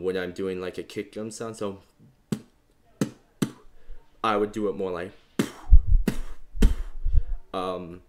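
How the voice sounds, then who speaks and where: A young man with a bass voice hosting a beatbox tutorial in a relaxed, rambling way, full of fillers. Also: noisy background. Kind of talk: casual instructional talk.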